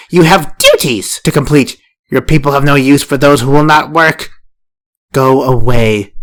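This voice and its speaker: A man voicing a tall, domineering older woman, a first wife who sneers and scolds in a slow, scornful voice. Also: deep voice, voice pushed up. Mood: sneering.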